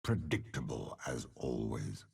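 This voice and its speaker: An actor playing a stern, scheming old general in a video game, with a gravelly, raspy voice and a commanding, deliberate, sardonic delivery. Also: male voice, a bass voice, big pitch swings, full character voice. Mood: dry, sardonic. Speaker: game character. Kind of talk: dramatic game voice lines.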